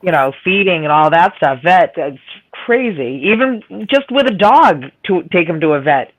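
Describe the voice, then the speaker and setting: A woman with a raspy voice and a strong Boston accent, chatting casually and laughing a lot. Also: on the low side, lively intonation, normal speed, muffled recording. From Massachusetts, USA. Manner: chatty, laughs often, repeats herself. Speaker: chat room participant. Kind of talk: casual chat.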